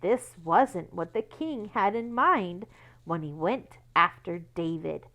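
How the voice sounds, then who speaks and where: A woman reading a children's book aloud like a teacher, with expressive emphasis and asides that explain things to young listeners. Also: on the low side, slow pace, muffled recording. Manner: instructive, explains words and checks understanding with children.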